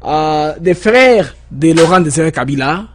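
A man interviewing a guest, pressing him with questions and filling pauses with hesitations. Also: fairly high pitch, expressive, slight background noise. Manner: pressing, stammers and fills with euh.

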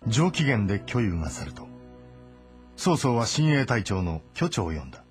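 A man's voice: on the low side, sing-song, moderate pace. A man giving scripted, dramatic narration of a historical story as its narrator. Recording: music behind the voice. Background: background music.

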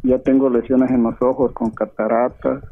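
A man's voice: medium pitch, level tone, normal speed. A man in his fifties from Chiapas calling in, politely listing his treatment and symptoms in order. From Chiapas, Mexico. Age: 50s. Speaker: caller. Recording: dry phone line.

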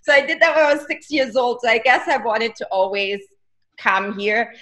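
A woman's voice: a little high, steady tone, normal speed, sharp tone. A woman in her forties from Germany with a German accent, a guest chatting casually and laughing easily over a video call. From Germany, near Salzburg. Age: 40s. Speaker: guest, costume designer. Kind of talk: casual interview chat. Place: home room, video call. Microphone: webcam on video call.

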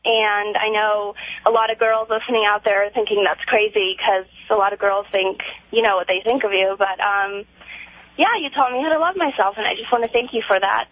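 A woman's voice: mid-range voice, fairly even intonation, brisk. A woman in her early twenties calling a radio show and chatting casually by phone. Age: early 20s. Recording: tinny phone line on radio.

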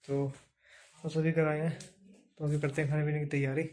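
Young guy vlogging on his phone, chatty, kinda monotone, slightly high voice. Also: fairly quick, crowd noise. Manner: chatty, addresses viewers as guys. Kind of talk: casual travel vlog narration. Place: outdoors by the sea, then indoors at home.

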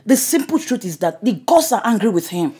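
A woman's voice: mid-range voice, very animated, a little fast, warm tone, husky. An actress playing a blunt woman in the palace who speaks her mind. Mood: defiant. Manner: blunt, defiant. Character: outspoken defiant palace woman.

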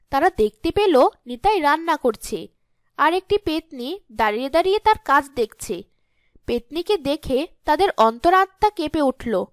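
Lady reading out a cartoon fairy tale, high pitched, very dry sound. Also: no echo. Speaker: narrator. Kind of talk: scripted storytelling narration for cartoon.

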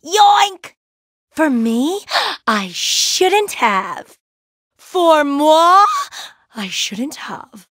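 A woman's voice: high-pitched, very animated, very slow, long pauses, fairly bright tone, no room sound, clean studio sound, full character voice. A woman voicing a brash, playful, laid-back young female gem warrior in a cartoon video game, with a cheeky, slangy, exclamatory delivery. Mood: playful, casual. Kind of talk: cartoon video game voice acting, short barks.

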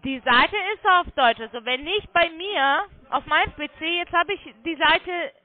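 High-pitched woman on a phone line. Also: normal speed. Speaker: phone sales agent claiming to be technical support. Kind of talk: phone sales call, step-by-step instructions.

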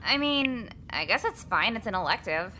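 Bright lively female voice, snarky teen schoolgirl, sarcastic, cutting, visual novel game. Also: medium pitch, normal speed, slight background noise. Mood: wry. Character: snarky, worldly teenage schoolgirl Jecka, mocking boys.

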